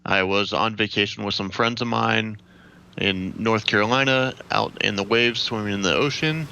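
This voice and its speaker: An American man in his late twenties giving a measured, matter-of-fact account as an interview guest. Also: on the low side, fairly even intonation, vocal fry, sharp tone, a little hiss or hum. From Ohio, United States. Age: late 20s. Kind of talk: recounting personal experience in an interview.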